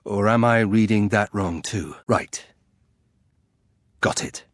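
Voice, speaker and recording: An actor playing a proud, brooding young nobleman archer in a video game, husky, with a terse, wry, guarded manner. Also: fairly low pitch, slow pace, no echo. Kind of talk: video game character acting.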